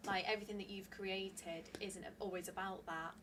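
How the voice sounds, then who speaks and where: A woman's voice: mid-range voice, steady tone, moderate pace, a bit bright. A woman co-hosting a podcast, thoughtful, asking long, rambling questions. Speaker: co-host interviewer. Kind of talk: casual chat.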